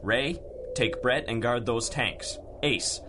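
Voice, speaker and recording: An actor playing a curt, commanding gang boss giving orders in a radio drama. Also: male voice, mid-range voice, takes it slowly, background noise, close to an everyday voice. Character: brusque male gang boss barking orders. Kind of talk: old-time radio drama acting.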